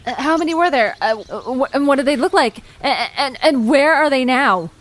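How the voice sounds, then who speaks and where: A woman's voice: high voice, animated, normal speed. A woman playing an eager, inquisitive young adventurer in an audio drama. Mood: excited. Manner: eager, questioning. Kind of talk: scripted audio drama acting.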